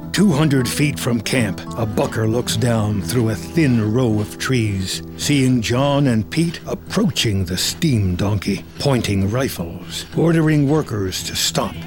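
A man with an American accent reading scripted screenplay narration in the present tense. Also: mid-range voice.

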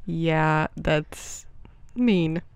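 A young woman co-hosting a casual chat podcast, expressive and quick to laugh, sometimes dropping into a deeper voice. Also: smooth, no echo. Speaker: podcast co-host. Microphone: headphones and mic.

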